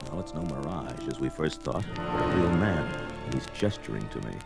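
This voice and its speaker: A bold barbarian adventurer with a very low voice. His delivery is hearty and blunt, rising and falling widely in the manner of a fantasy record drama. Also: animated, fairly quick, gravelly, over loud music, exaggerated voice. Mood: startled, curious. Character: bold young barbarian warrior, wary, gruff and mercenary. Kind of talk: 1970s fantasy audio drama acting.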